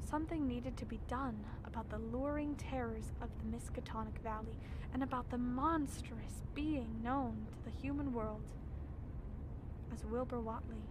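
A woman playing a haunted scholar in a horror radio drama, narrating in a solemn, deliberate, foreboding way. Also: a little high, noisy background, natural voice. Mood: grave. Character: grave, haunted scholar recounting horrors, ominous.

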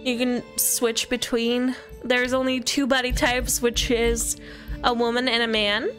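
A woman's voice: a little high, little pitch movement, a little slow, fairly bright tone, soft background music. A woman giving a casual walkthrough commentary of a video game. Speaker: gaming video presenter.

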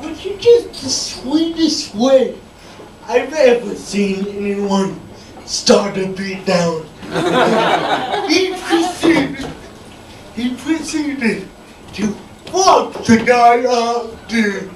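A young man performing stand-up comedy stories in a halting, drawn-out, effortful delivery. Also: very high voice, animated. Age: young adult. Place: small dim comedy club stage indoors. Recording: noisy, echoey live recording from far off.

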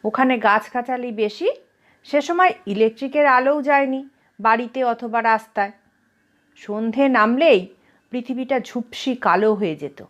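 A woman reading a story aloud as a narrator, in an expressive storytelling style. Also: a little high, dull audio. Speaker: story reader, channel host.

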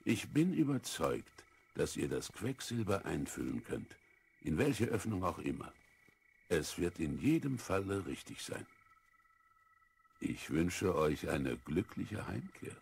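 An actor playing a wise, patient old philosopher in a children's radio play, with a husky, gravelly voice and a measured, thoughtful manner. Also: male voice, low voice, very animated, full character voice. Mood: thoughtful, kindly. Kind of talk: 1970s German children's radio play acting.

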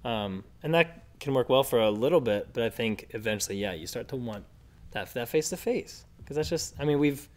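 Young man, mid-pitched voice, casual podcast host, animated delivery, shared mic indoors. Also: a little fast. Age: young adult. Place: indoor room, seated group. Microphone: shared desktop microphone.

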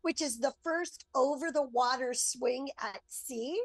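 A woman's voice: sits high, measured pace, no echo. A middle-aged woman speaking enthusiastically as a travel expert, giving an upbeat promotional segment. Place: on board a cruise ship, remote link.

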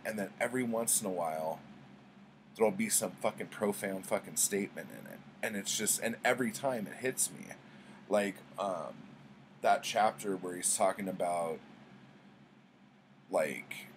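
A man's voice: low voice, a little hiss or hum. A middle-aged man chatting casually to camera as a book vlogger, rambling in a laid-back, slangy, sweary way. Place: small book-lined room at home.

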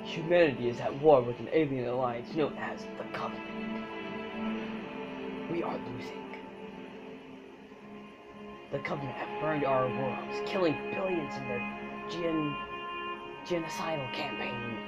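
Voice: mid-range voice, a little slow, pauses often. A gamer recording a casual let's play in front of a TV. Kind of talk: casual let's play commentary, reading intro text aloud. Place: room in front of a TV screen. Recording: dull muffled recording with loud game music behind. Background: loud game music from a TV.

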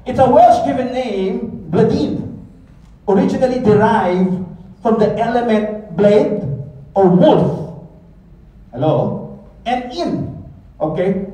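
A man's voice: high-pitched, slow pace, long pauses, dark tone. A man from the Philippines with a Filipino accent, preaching a sermon with joking asides to the audience. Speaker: pastor. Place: large auditorium stage. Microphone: handheld mic at a lectern, filmed from far back. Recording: echoey hall, distant camera.

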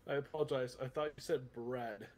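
A man's voice: mid-range voice, fairly quick, darkish tone, slight background noise. A man speaking politely and a little hesitantly as a police officer in improvised online game roleplay.

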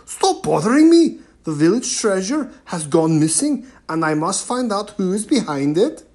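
A storyteller voicing a stubborn, bossy male Viking chieftain who booms and brushes everyone off in a storybook reading. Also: animated, slow. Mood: booming. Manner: booming, dismissive.

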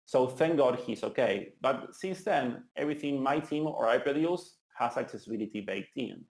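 A man's voice: medium pitch, lively intonation, normal speed. Argentinian man in a roomy hall. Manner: earnest, enthusiastic, some restarts. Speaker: conference speaker. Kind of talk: tech conference talk. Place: meetup venue hall.

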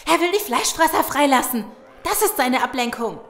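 Actress as very high, alarmed female survivor. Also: animated, normal speed, fairly bright tone. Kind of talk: German audio drama acting. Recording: echoey room, faint noise.

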